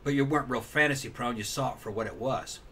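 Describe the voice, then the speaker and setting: A man's voice: mid-range voice, fast talker, sharp tone. A man in casual discussion as a co-host, circling back to repeat and rephrase his points. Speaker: show co-host. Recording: faint background noise.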